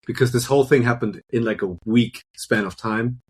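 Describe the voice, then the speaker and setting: A man's voice: mid-range voice, normal speed, slight background noise. A man from Germany with a German accent, a composer talking candidly and self-deprecatingly as an interview guest over a remote call. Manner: candid, self-deprecating, talks in long loose runs. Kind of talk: relaxed interview conversation.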